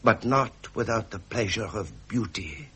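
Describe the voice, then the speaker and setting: A man's voice: lowish voice, expressive, measured pace, bright, forceful tone, slightly rough, exaggerated voice. A man playing a suave, pleasure-loving Victorian prince in a British radio drama, with a jovial, aristocratic manner and a British accent. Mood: tender, flirtatious.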